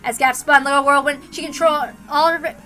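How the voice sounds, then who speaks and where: A woman narrating a visual novel aloud in an expressive, dramatic storytelling style. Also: sits high, a little fast, faint music behind. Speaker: narrator, reader.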